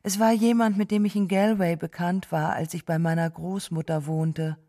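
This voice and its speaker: Mellow, low-voiced woman in radio drama. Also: steady tone, moderate pace. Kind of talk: literary radio drama reading.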